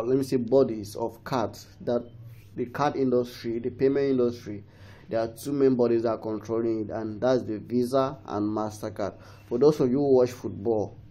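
A man hosting a casual how-to, his voice dulled by a cold. His tone sits mellow and dark at a middle pitch. He rambles, restarts phrases and keeps pleading with viewers to follow along. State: has a cold. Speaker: tech tutorial host. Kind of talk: casual screen-recorded tutorial.

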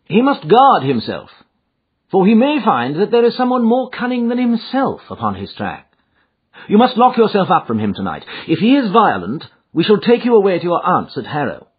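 A man voicing a shrewd, commanding Victorian gentleman detective in an audiobook, speaking in incisive, precise phrases. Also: high-pitched, wildly swooping pitch, normal speed, husky, voice pushed up, exaggerated voice. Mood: firm, commanding. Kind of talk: audiobook character dialogue. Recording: muffled, thin phone-like audio.

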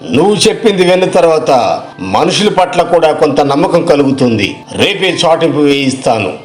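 A man playing a wealthy, cautious village businessman in a moral story, deliberate and authoritative in manner. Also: a little high, lightly acted. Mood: persuaded.

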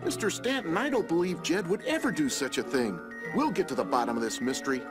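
A man's voice: a little high, big pitch swings, fast. A man playing a confident, upbeat young gang leader in a cartoon game, earnest and take-charge. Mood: earnest, determined. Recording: loud background music.

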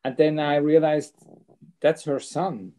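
German director guy, middle aged, relaxed mellow voice over video call. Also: a little high, slow pace. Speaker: film director. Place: home room with bookshelves. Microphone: laptop webcam mic on video call.

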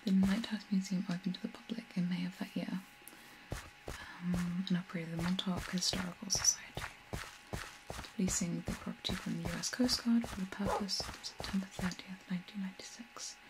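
A young woman giving whispered ASMR commentary over a video game, breathy, soft, gentle and a little hesitant. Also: on the low side, steady tone, a little fast, noisy background. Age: young adult. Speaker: video game streamer and tour guide.

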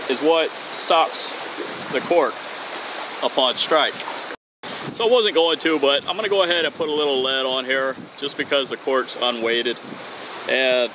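A man in his thirties hosting an outdoor fishing tutorial, talking casually and upbeat as he works with his hands on a bridge over open water. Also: on the high side. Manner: upbeat, casual, talks while tying knots. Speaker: fishing channel host. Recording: outdoor recording with wind and water noise. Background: wind and waves.

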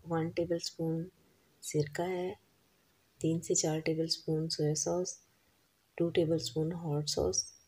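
A woman explains a recipe step by step in a calm voice-over, listing each ingredient and its amount. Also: fairly low pitch, breathy edge, low-quality recording. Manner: calm, instructive, lists ingredients and quantities. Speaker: cooking channel host.

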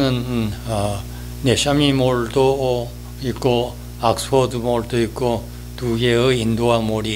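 An elderly man in his eighties giving a reminiscing monologue, speaking haltingly with frequent drawn-out hesitations. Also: mid-range voice. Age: 80s. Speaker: newspaper-style columnist giving a talk. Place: indoors, small office or studio, seated.

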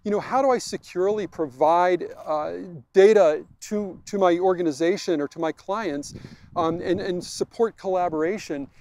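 A man in his forties, a technology executive, answering questions on a walk in a park, halting and full of restarts and repeated words. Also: high voice, a little mellow, dry recording. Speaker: vice president of technology. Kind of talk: conversational interview on a walk. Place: outdoors in a park.

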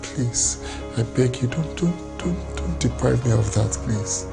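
A man's voice: medium pitch, animated, a little slow, gravelly, over loud music. An actor playing a remorseful husband who begs forgiveness from his wife and her mother. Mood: begging. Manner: pleading, apologetic, deferential. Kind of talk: Nollywood drama acting.

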